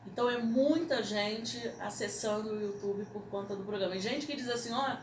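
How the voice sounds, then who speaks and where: A woman's voice: medium pitch, moderate pace. A Brazilian woman radio producer explaining things casually in an interview. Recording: echoey room, distant mic, background noise.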